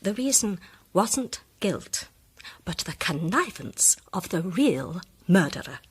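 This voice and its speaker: An incisive lady detective, lively intonation. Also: on the low side, slow pace, slightly rough, exaggerated voice. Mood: assured, incisive. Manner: precise, assured, formal. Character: poised, incisive lady amateur detective, coolly contradicting. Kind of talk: British radio drama acting.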